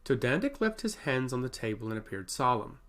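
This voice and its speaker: A man narrates a scripted fantasy tale. His voice sits at a middle pitch and swings expressively up and down as he tells the story. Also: very animated, a little fast, a bit bright.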